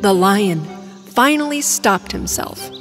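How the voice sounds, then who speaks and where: A woman narrating an animated children's storybook in an expressive storytelling manner. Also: medium pitch, takes it slowly, soft background music.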